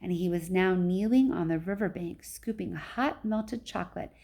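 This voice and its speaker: A woman's voice: on the low side, normal speed, creaky, dark tone. A woman reading a children's book aloud like a teacher, with casual explanatory asides.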